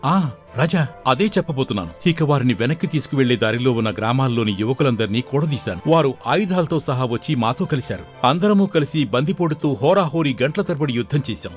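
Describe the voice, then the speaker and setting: Male actor as young storybook prince: earnest, respectful, formal, medium pitch, light music underneath. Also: lively intonation, a little fast, close to an everyday voice. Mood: earnest recounting. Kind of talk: dramatised Telugu folk tale.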